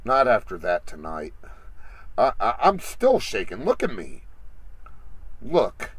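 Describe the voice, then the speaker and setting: A man playing a haunted Marine veteran who recounts a horror tale in a dramatic, halting way for an audio drama. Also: lowish voice, huge pitch swings, husky, dry recording, full character voice. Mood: shaken, pleading.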